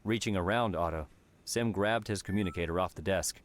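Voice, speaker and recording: Low-voiced man narrating an audiobook. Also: brisk. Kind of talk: scripted story narration.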